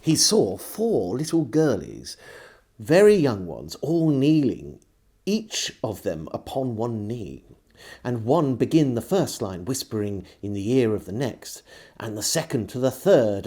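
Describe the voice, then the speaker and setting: A male storyteller narrates an old diary. His pitch is middling but moves animatedly up and down through each sentence. He phrases expressively, pausing with care like an audiobook dramatist. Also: very animated, warm tone, husky. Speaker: storyteller reading a historical diary aloud.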